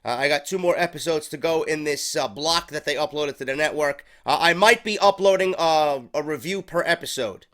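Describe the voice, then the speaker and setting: A man's voice: on the high side, a little fast, few pauses, fairly bright tone. A man with a stuffy head cold hosting a casual wrestling review show, rambling and swearing freely. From New York. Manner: profane, rambling, unscripted.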